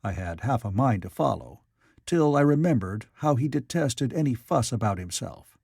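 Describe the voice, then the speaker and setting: A man's voice: mid-range voice, lively intonation, moderate pace, vocal fry, darkish tone, slightly rough, no room sound, clean studio sound. A man reading classic literary fiction aloud as a scripted audiobook narrator.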